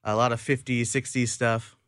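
A man's voice: mid-range voice, flat delivery, normal speed, a bit bright, no room sound, studio quality. A man in his twenties, a singer casually answering questions as a radio guest. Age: 20s.

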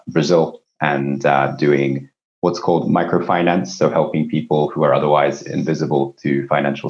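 A man with an extremely low voice answers as an interview guest in a conversational, explanatory manner. He is a company executive from Japan, and the recording sounds slightly dull and lo-fi. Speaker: company CEO, podcast guest.

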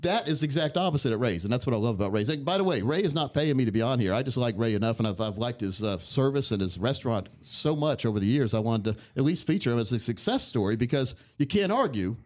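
A man's voice: medium pitch, animated, fast talker, few pauses, darkish tone. A man hosting a talk radio show, chatting in a folksy way and often repeating his words. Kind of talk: casual chat.

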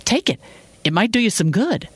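A woman's voice: mid-range voice, sing-song, moderate pace, breathy edge, no room sound, voice pushed up. A woman voicing a bitter, wounded, sarcastic woman in a dramatic audiobook, with emphatic, cutting delivery. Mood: mocking. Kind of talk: dramatic audiobook character dialogue.

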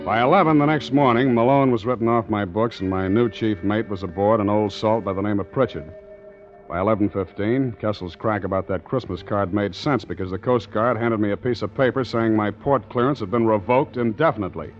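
A man's voice: on the low side, lightly acted. A man acting a tough, secretive ship's skipper with wry, hard-boiled delivery in a 1940s radio adventure. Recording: muffled 1940s radio recording, background music.

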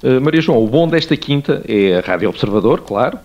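A man's voice: medium pitch, animated, a little fast, darkish tone, dull audio. A man with a European Portuguese accent delivering scripted satirical commentary as a radio commentator.

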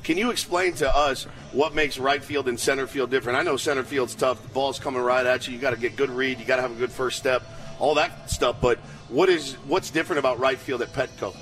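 An American man co-hosts a sports radio interview in a casual, chatty way. His voice is average in pitch. He tends to phrase things informally, in short bursts that repeat. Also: brisk, a bit bright, soft background music.

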